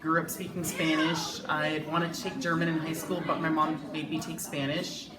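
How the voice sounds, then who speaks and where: A woman's voice: sits low, level tone, normal speed. A woman in her thirties, a Spanish teacher, talking casually and matter-of-factly in a school news interview. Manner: casual, matter-of-fact storytelling. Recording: echoey, far from mic, loud crowd behind.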